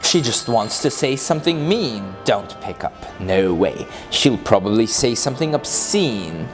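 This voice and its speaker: Theatrical, mischievous reader, lively pitch. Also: measured pace, over music. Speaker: author and reader. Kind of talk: dramatic comic verse reading.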